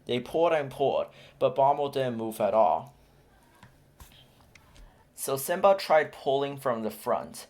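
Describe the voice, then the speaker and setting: A man's voice: mid-range voice, a little slow, long pauses. Man reading a storybook aloud. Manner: steady, clear storytelling. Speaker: storybook reader. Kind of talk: children's read-aloud narration.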